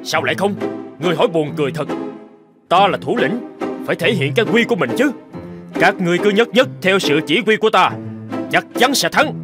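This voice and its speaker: A man's voice: high-pitched, lively intonation, a little fast, long pauses, over music. A man voicing a vain, boastful mouse leader in a cartoon, pompous and self-important. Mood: boastful, rallying. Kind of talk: cartoon dubbing.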